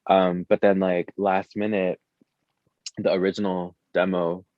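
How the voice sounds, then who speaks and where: Low-voiced man in a roomy, muffled recording. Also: flat delivery, measured pace. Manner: informal, slangy, hesitant, full of "like". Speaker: musician, interview guest. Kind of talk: casual chat.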